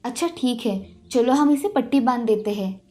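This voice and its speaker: A woman playing a caring, gentle village mother in an animated moral story, tender and reassuring. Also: a little high, moderate pace, lightly acted. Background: faint background music.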